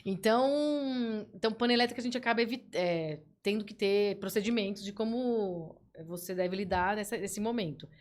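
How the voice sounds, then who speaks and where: A woman guest on a podcast, telling work stories in a casual, lively way with frequent restarts. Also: medium pitch, normal speed. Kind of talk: casual storytelling.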